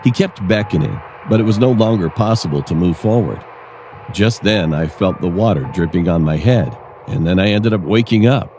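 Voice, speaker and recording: A man narrating a scripted first-person horror story in a measured, dramatic storytelling manner. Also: low voice, animated, darkish tone, a little hiss or hum.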